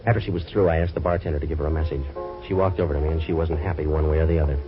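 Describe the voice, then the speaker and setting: A dull vintage radio recording carries a hardboiled American noir hero. He talks very quickly in a low voice. His delivery is clipped, deadpan and sardonic. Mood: deadpan narrating. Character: tough wisecracking waterfront troubleshooter, a hardboiled man. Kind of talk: 1940s radio noir acting and first-person narration. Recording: dull old radio recording, faint music.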